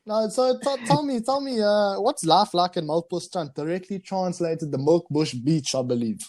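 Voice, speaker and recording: A man's voice: high voice, lively intonation, moderate pace, a crowd in the background. A young man hosting a podcast, playful and laughing often, with casual hesitant phrasing. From South Africa. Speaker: podcast host.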